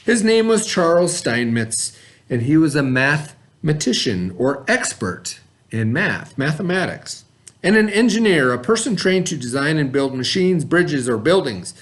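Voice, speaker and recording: A man with a fairly high voice reads a storybook aloud to a class. He keeps breaking off to explain words and ask the children simple questions, in a friendly teacher's way. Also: very animated, measured pace. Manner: explains and asks the class questions between passages. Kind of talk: reading a book aloud to children.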